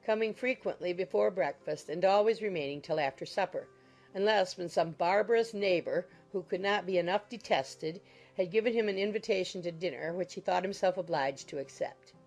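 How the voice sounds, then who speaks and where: A woman's voice: on the low side, brisk, a little mellow. A woman reading scripted narration of a classic novel as an audiobook narrator. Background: music.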